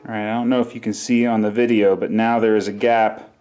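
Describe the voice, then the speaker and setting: A man's voice: lowish voice, little pitch movement, fast talker, a little mellow, reverberant. A man explaining a bike repair step by step in a casual, practical way, in his workshop. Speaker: bike mechanic. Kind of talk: hands-on repair tutorial.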